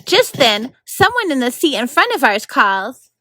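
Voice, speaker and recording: A woman's voice: high-pitched, very animated, unhurried, bright, forceful tone, no room sound. A woman reading scripted narration from a children's book as host of a story time.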